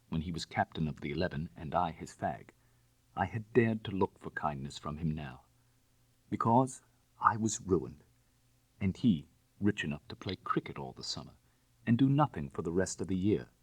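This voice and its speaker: A man's voice: low-pitched, very animated, normal speed, breathy edge, no room sound, rough recording. A man narrating literary fiction in the first person, in a dramatic storytelling style. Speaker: audiobook narrator.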